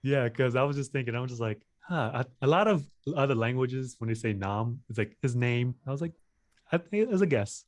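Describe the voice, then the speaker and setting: A man's voice: mid-range voice, expressive, fairly quick, no echo. A man hosting a personal finance interview, asking questions in a casual way with many fillers. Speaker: host.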